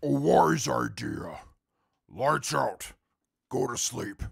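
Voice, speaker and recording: Stern, very gravelly commander, played by a man. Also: medium pitch, drawn-out words, a little hiss or hum, exaggerated voice. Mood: curt, annoyed. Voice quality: very gravelly, husky. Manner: curt, commanding, deadpan, disdainful. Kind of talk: comic post-apocalyptic audio drama acting.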